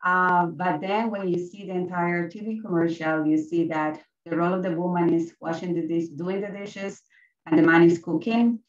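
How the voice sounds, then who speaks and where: A woman's voice: on the low side, steady tone, normal speed. A young adult woman, giving a conference presentation as a teacher, hesitant, with many fillers and restarts. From Colombia. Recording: roomy, distant, slightly dull sound.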